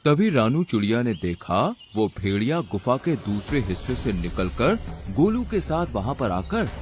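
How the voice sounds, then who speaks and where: Male storybook narrator, animated intonation, mid pitch, scripted delivery with faint background noise. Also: normal speed, faint music behind.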